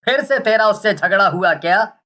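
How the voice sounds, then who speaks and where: A woman's voice: medium pitch, animated, moderate pace, pushed and bright. A woman playing a caring, confident middle-aged village father, a snake charmer, reassuring and matter-of-fact in a storybook cartoon.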